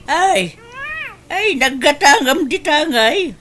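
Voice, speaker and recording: A woman's voice: high voice, animated, slow pace, a bit bright, a little hiss or hum, full character voice. A woman playing a nagging, disapproving mother in a radio drama, with an Ilocano accent and grumbling, sighing interjections.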